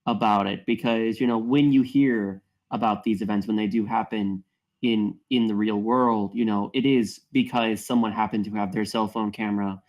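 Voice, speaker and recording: A man giving wordy, measured feedback in a casual group discussion. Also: fairly low pitch, steady tone, darkish tone. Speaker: table read participant giving feedback.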